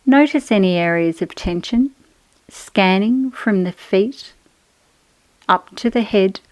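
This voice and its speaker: A woman guiding a relaxation meditation in a soothing, measured, instructional manner with pauses between phrases. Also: mid-range voice, lively intonation, no echo, poor audio. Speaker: yoga nidra guide.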